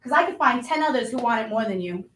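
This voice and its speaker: Domineering, high-voiced restaurant boss, a woman acting. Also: animated, fast, fairly bright tone. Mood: impatient, scolding. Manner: scolding, domineering. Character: harsh, demanding, impatient restaurant boss. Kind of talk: staged play reading. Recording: roomy, distant microphone.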